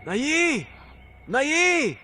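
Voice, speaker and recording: A man doing a high, calling boy's voice. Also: very animated, extremely slow, bright, forceful tone, full character voice. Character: boy in a made-up language.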